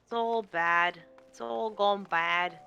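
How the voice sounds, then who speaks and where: A woman's voice: mid-range voice, slow, creaky, pushed and bright, lo-fi sound, slight background noise. A woman co-streaming a video game, chatting casually as she plays and reacts. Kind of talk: casual chat while gaming. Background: game music.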